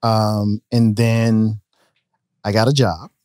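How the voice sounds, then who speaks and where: A man in his thirties, a business owner telling stories in a casual podcast interview, relaxed and talking with his hands. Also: lowish voice, slow pace, no echo. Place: podcast studio, indoors. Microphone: studio mic on a boom arm. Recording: dry close studio sound.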